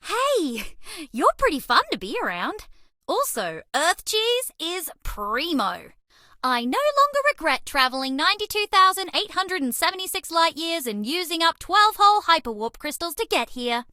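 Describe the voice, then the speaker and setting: A woman's voice: extremely high pitch, big pitch swings, normal speed, sharp tone, no room sound, clean studio sound, heavily acted. A woman voicing a bubbly, cheese-obsessed young alien rodent space traveler in a video game, with a perky, theatrical delivery. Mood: upbeat, fond. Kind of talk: animated game character voice lines.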